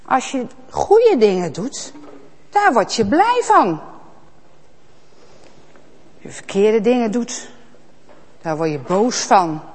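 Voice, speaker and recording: Woman doing a fatherly deity, slow and earnest, in a reverberant church. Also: on the high side, sing-song, heavily acted. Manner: gentle warning, earnest. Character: God, kind but stern fatherly deity.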